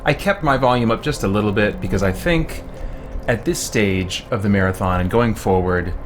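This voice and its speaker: A man's voice: on the low side, moderate pace. A man hosting a casual livestream from home, talking in a rambling, hesitant way as he explains himself. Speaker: livestream host. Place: indoors at home. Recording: clear background noise.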